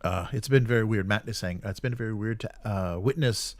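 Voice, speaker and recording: Low-voiced man rambling on a livestream. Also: no room sound. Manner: halting, rambling, opinionated. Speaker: live show host. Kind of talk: casual livestream commentary.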